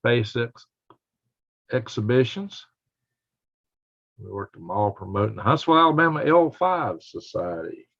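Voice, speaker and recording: An older man with a raspy voice chatting casually and folksily as he hosts a live stream and reads out comments. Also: medium pitch, lively intonation, measured pace, long pauses, a bit bright. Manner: folksy, rambling, chuckling country talk. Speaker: livestream host. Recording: dull lo-fi livestream audio.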